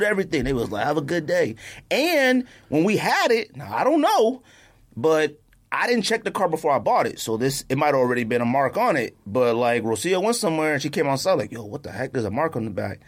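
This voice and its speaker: A man's voice: a little high, fairly quick. A man co-hosting a podcast, telling stories in casual slangy banter and acting out what people said. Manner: animated, slangy storyteller who acts out quotes. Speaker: podcast co-host.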